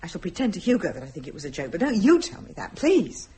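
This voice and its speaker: An actress playing an anxious wife confiding her fears haltingly and intensely in a radio play. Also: lowish voice, wildly swooping pitch, dark tone, slight background noise. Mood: pleading.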